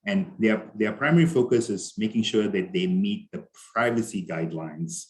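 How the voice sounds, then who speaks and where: Indian-accented guy presenting at a conference over a video call, kinda low voice, lots of ums and restarts. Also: moderate pace. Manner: conversational, many fillers and restarts. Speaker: conference speaker.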